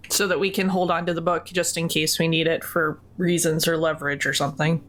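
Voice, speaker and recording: A woman's voice: sits low, level tone, a little fast, a bit bright. A woman player talking casually with friends over a tabletop game. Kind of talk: casual group chat.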